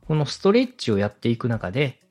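Male podcast host, husky voice, very varied intonation, polite step-by-step coaching, music underneath. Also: mid-range voice, wildly swooping pitch, fairly quick, a little mellow. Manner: polite, explanatory, methodical. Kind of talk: structured advice talk for a podcast.

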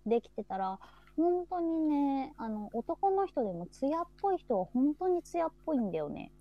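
A woman's voice: sits high, sing-song, moderate pace, lo-fi sound. A woman hosting a casual live audio chat, agreeable and often repeating her affirmations. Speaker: host of a live audio talk show.

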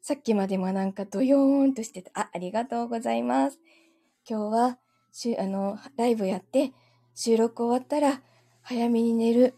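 A woman's voice: a little high, normal speed, dark tone, slightly rough. A woman chatting casually from home on a livestream, giggling often and thanking her viewers as she goes. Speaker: livestream host. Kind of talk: casual chat with live viewers.

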